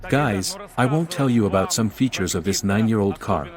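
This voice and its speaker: Low-voiced man hosting a car review. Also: animated, moderate pace, over loud music. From Ukraine. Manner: guiding, asks questions, addresses viewers as guys. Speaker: car show host.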